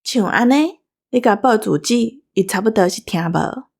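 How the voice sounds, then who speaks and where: A woman's voice: medium pitch, animated, normal speed, darkish tone, slightly rough. A woman with a Taiwanese accent hosting a show, telling anecdotes conversationally. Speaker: show host.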